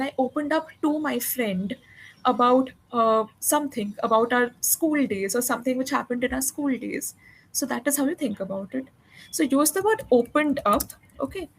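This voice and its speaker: High-voiced encouraging woman tutor. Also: expressive, normal speed, a little hiss or hum, poor audio. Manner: encouraging, patient, explains grammar step by step. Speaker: English tutor. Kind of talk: one-on-one spoken English lesson.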